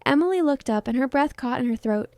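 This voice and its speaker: A woman's voice: high voice, expressive, quick pace, a little mellow, no echo. A woman narrating a scripted dramatic story.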